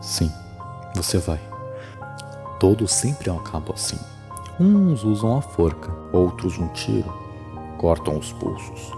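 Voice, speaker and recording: A man's voice: deep voice, very animated, slow, creaky, long pauses, music in the background, exaggerated voice. A Brazilian man voicing a dead father's ghost, an older, sardonic, fatalistic man, in a horror audio drama.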